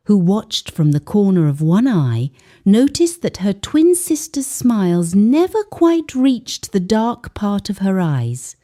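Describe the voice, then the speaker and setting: A woman narrating a scripted folktale as a storyteller, in a measured, deliberate and dramatic way with weighted pauses. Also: mid-range voice, warm tone.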